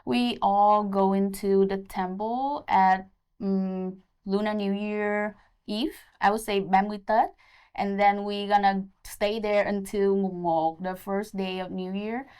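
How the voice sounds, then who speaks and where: A woman's voice: medium pitch, little pitch movement, unhurried, darkish tone, clean tone. A woman in her twenties from Vietnam, speaking English with a Vietnamese accent as a pageant candidate, a little nervous but eager. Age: 20s.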